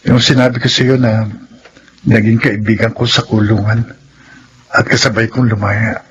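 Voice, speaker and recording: A man's voice: fairly low pitch, flat delivery, moderate pace, highs cut off, a little hiss or hum, lightly acted. An actor playing a middle-aged reformed ex-convict, now a businessman and father, speaking earnestly in a radio drama. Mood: somber. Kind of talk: classic radio drama acting.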